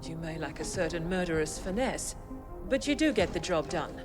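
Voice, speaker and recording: An actor voicing a composed, erudite intelligence handler who briefs an operative in a video game, measured and dry. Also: mid-range voice, lively intonation, over music, natural voice. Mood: composed, analytical. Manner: measured, erudite, dryly admiring.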